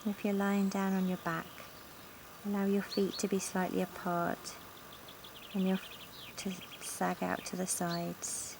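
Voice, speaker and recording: A woman leading a guided yoga nidra relaxation in a calm, gentle, soothing manner with long pauses, recorded outdoors in a garden. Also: mid-range voice, creaky. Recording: outdoor recording with insect and nature noise. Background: insects, sounds of a sunny garden.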